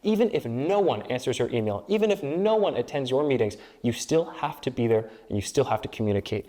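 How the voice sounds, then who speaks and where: A young man whose first language is Spanish and who grew up in Peru and the Dominican Republic. He is a student government candidate giving a speechlike campaign pitch in an interview, building repeated phrases into rhetorical lists. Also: lively intonation, quick pace, mellow. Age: young adult.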